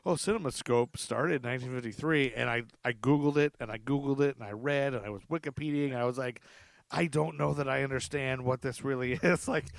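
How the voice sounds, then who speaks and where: A middle-aged man hosts a casual podcast chat, rambling and poking fun at himself with frequent laughs. His voice sits in a middle pitch. The sound is dry and close, with no room around it. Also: brisk, vocal fry, no echo. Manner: rambling, self-deprecating, laughs often. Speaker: podcast host.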